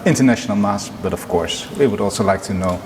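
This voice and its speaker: Male interviewer in a reverberant church; halting, friendly questions. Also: on the low side, darkish tone. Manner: hesitant, friendly questions with fillers. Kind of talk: church community video interview. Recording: long reverb, faint noise.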